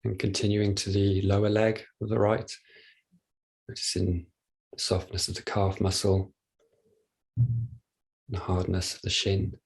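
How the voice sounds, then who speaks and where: A man leading a guided body scan meditation, speaking gently and without hurry, leaving long pauses. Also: low-pitched, monotone, vocal fry, husky, poor audio. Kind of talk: guided meditation, soft instruction.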